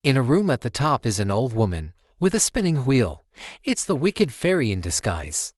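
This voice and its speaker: A man reads a children's story aloud as a storytime host. His pitch moves up and down in a lively way, and the sound is dry and close. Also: moderate pace, no room sound. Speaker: storytime channel host reading children's books.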